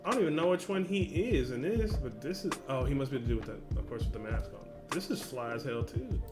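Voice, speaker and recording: A young man talks through a reaction video in loose, slangy chat, breaking into loud excited exclamations. His voice sits at an ordinary middle pitch. Music plays clearly underneath him. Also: fairly quick. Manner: excitable, slangy, exclaims loudly at what he sees. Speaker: reaction channel host. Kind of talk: casual reaction commentary.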